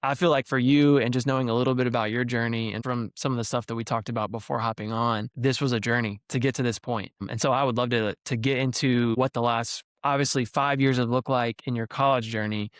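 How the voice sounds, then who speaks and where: Enthusiastic young man hosting, crisp dry sound. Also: medium pitch, steady tone, brisk, hardly any pauses, dry recording. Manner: effusive, hyping the guest. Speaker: podcast host interviewing athletes. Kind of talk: enthusiastic podcast interview.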